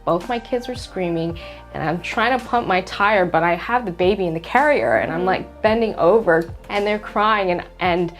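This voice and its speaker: A woman telling her story in a lively way in a documentary interview. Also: on the low side, normal speed. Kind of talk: documentary interview, personal testimony. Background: faint music.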